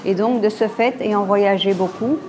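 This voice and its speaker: A woman from the Belgian Congo of Indian origin whose native tongues are Kutchi and Gujarati, speaking in a measured way in a documentary interview. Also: mid-range voice, steady tone, a little mellow, soft background music. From born in the Belgian Congo, of Indian origin. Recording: crowd noise in the background.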